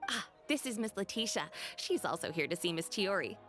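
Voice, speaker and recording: A woman's voice: mid-range voice, big pitch swings, fairly quick, a bit bright, faint music behind. A woman playing a polite, helpful young female boutique assistant in a video game.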